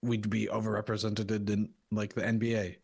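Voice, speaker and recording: A man with a slight lisp co-hosting a football watchalong, relaxed and quick to laugh at himself. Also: fairly low pitch, vocal fry, breathy edge. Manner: easygoing, laughs often, self-deprecating. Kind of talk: casual chat reacting to a live match.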